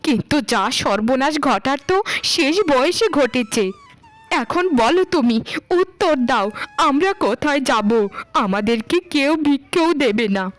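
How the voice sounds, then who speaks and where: High-pitched, wailing older wife voiced by a woman. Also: expressive, takes it slowly. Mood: bitter lament. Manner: melodramatic, wailing, stammering when shocked. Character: ageing landlord's wife, mother, dramatic and lamenting. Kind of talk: Bengali cartoon melodrama acting.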